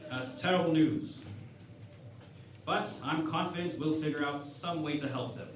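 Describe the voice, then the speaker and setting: An actor playing a vain, cocky, clueless starship captain in a sci-fi parody radio play, with a grandiose, self-assured delivery. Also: male voice, on the high side, unhurried, close to an everyday voice. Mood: breezy, confident. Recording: echoey room, distant mic, faint noise.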